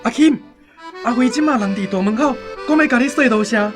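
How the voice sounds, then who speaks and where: A man's voice: extremely high pitch, brisk, music in the background. A man with a Taiwanese accent playing an earnest, hot-blooded young soldier in a radio drama. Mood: eager.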